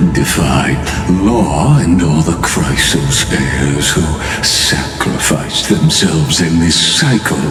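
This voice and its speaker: A calm, grandiose ancient prisoner speaks in an extremely deep voice at a very slow, deliberate pace. He taunts with theatrical courtesy, like a game villain, in a long cavernous reverb with music behind him. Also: full character voice. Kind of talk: dramatic video game voice acting.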